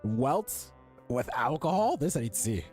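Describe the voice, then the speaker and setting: A man's voice: mid-range voice, very animated, normal speed, a little mellow. A man giving casual, off-the-cuff commentary as he plays through a story game. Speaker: gamer reacting to a story quest. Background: game music.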